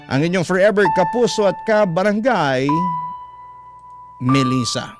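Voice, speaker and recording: A Filipino man narrates a heartfelt radio letter. His voice sits slightly high and his delivery is earnest and sentimental. Loud instrumental music plays beneath him throughout. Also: animated, a little slow. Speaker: radio story narrator reading a listener's letter. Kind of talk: emotional scripted first-person narration. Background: prominent instrumental music.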